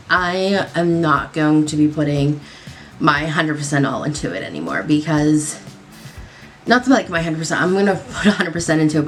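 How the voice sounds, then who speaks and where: A young woman doing her makeup on camera, rambling casually with lots of fillers, her voice a little nasal after a cold. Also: sits low. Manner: rambling, lots of likes and ums. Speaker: beauty vlogger. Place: home at night.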